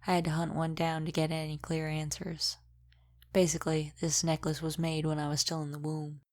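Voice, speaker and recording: Low, even-voiced world-weary vampire mentor played by a woman. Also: close to an everyday voice. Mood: calm, reflective. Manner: unhurried, wry, folksy dropped g's. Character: centuries-old female vampire, world-weary, gruffly protective mentor. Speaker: audio roleplay performer. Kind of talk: intimate audio roleplay acting.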